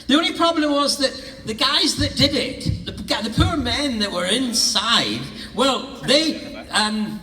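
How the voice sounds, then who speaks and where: Lively, bright-voiced older Scotsman. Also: measured pace, hardly any pauses. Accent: Scottish. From Scottish Highlands. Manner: playful, theatrical, hopping between high and low tones, laughing often. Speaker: guest actor answering audience questions. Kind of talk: theatre-show Q&A storytelling. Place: large theatre hall. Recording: stage mic in a reverberant hall, heard from a distance.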